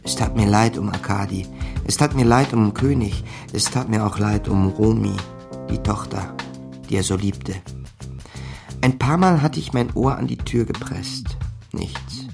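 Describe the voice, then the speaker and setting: An actor playing a wry, rattled small-time crook who narrates a radio crime drama in sardonic slang and muttered curses. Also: male voice, fairly low pitch, measured pace, gravelly, music in the background. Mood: gloomy, wry.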